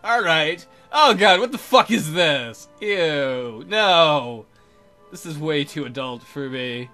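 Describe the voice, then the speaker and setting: A man's voice: a little high, lively intonation, takes it slowly, bright, forceful tone, soft background music. A man hosting a let's play, reading visual novel text aloud and cracking irreverent, crude jokes. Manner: irreverent, crude joking, frequent mock voices. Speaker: let's play video host.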